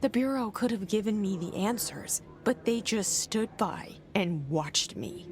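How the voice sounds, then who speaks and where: A woman's voice: medium pitch, lively intonation, a little slow, faint music behind, close to an everyday voice. A woman playing a determined, guarded young woman in a video game, speaking tersely and intensely. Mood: troubled, determined. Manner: terse, intense, sometimes hushed inner monologue. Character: determined, guarded young woman searching for her lost brother. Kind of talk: naturalistic video game acting. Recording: clear background ambience noise.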